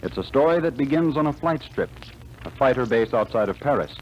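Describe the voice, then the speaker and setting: A man narrates a 1940s radio drama in a dramatic, announcer-like way. His voice sits at a middle pitch. Faint hiss runs under the old recording. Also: expressive, a little fast, lo-fi sound.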